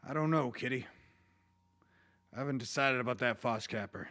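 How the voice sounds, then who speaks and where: A man playing a measured, watchful western marshal in a radio drama, noncommittal in manner. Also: mid-range voice, bright, forceful tone, natural voice. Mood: measured, curious. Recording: guitar music behind the voice.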